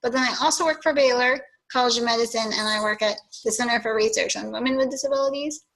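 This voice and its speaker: A woman from Texas who works in research, introducing herself with many pauses and ums. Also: a little high, a bit bright, poor audio. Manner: hesitant, lots of ums. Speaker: health researcher. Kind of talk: self-introduction.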